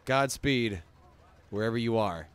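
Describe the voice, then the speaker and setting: A man's voice: mid-range voice, lively intonation, a little slow, clear, resonant tone. A man giving casual, jokey and chatty reaction commentary. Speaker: voice actor reacting to his game's cut scenes.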